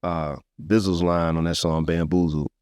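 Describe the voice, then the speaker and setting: A man's voice: sits low, level tone, normal speed, a little mellow, clean tone, no echo. A man talking casually as a podcast host, earnest and emphatic, with slangy phrasing and repeated, circling phrases.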